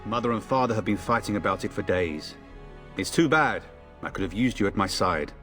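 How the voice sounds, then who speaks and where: A man's voice: on the low side, animated, brisk, a bit bright, big-room sound, faint music behind. A man playing a jaunty, teasing young noble soldier in a video game. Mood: wry regret.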